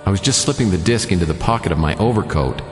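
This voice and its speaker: A sardonic, hard-boiled private eye narrates in a very low voice with a lively, swinging delivery. The sound is roomy with a long reverb tail. This is a noir radio drama. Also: male voice, fast talker, faint music behind. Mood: wry. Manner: dry, sardonic. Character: wisecracking hard-boiled male private detective.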